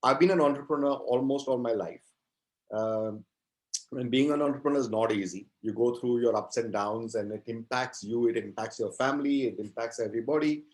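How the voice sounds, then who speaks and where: Halting, reflective man in his fifties. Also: medium pitch. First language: Gujarati. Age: 50s. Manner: halting, reflective, repeats phrases. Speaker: interview guest, poet and entrepreneur. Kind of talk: conversational interview answers.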